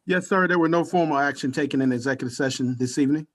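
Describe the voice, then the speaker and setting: Higher-pitched man clerking a formal meeting. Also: quick pace. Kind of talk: formal roll call and announcements. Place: virtual meeting call.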